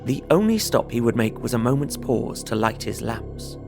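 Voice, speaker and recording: An actor playing an observant young gentleman traveller, narrating his journal in measured, literary audio drama style. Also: medium pitch, big pitch swings, creaky, over music. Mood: uneasy, descriptive. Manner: measured, descriptive storytelling.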